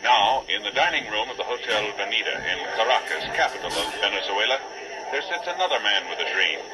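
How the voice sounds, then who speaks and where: A man's voice: on the low side, animated, a little fast, lightly acted, low-quality recording. A man narrating a 1940s radio drama in a solemn, declamatory style. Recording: old radio broadcast, loud music behind.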